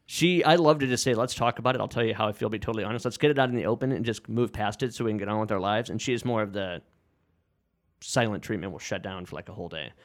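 A man co-hosting a podcast who talks quickly and at a loud volume. He is outgoing and direct, and he speaks his mind plainly in casual conversation. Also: on the low side.

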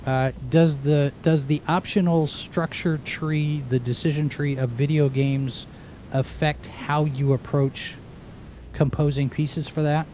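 A man's voice: fairly high pitch, flat delivery, takes it slowly, darkish tone, a little hiss or hum. A man interviewing guests, asking long, searching questions full of restarts.

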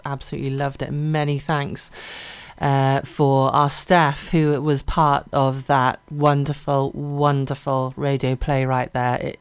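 A woman's voice: sits low, clear, resonant tone, no echo. A woman presenting live radio in an effusive, informal way. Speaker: radio host.

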